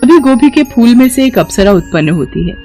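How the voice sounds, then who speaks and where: A woman's voice: on the high side, big pitch swings, fairly quick, dark tone. A woman storyteller reading a scripted moral tale, with music behind her.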